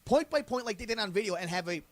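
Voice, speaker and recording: Podcast co-host, male, higher voice, emphatic casual debater, dry studio sound. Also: lively intonation, fast, dry recording. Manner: emphatic, argumentative, restarts often. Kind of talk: casual chat.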